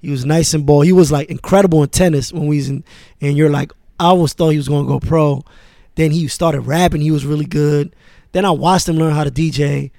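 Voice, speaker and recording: Laid-back man with a higher voice. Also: fairly quick, vocal fry, no room sound, clean studio sound. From born in France, raised in Queens, New York. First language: Arabic. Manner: relaxed, laid-back, with many fillers. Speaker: guest, music manager. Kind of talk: casual interview conversation.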